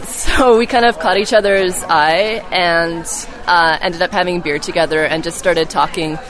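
A young woman from Canada speaks casually in a slightly low voice, full of fillers and self-corrections. She is recorded outdoors in a city, with clear crowd chatter behind her. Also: fairly even intonation, a little slow. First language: Czech heritage, English first. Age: young adult. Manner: chatty, frequent "like" and restarts, laughs easily. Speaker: film's lead and graduate student guest. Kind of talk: casual interview chat.

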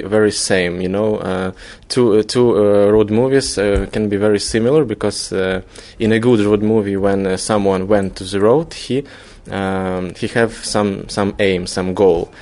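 A man from Ukraine with a Ukrainian accent, a filmmaker being interviewed, searching for words haltingly in his non-native English. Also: on the low side, darkish tone. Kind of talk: conversational interview.

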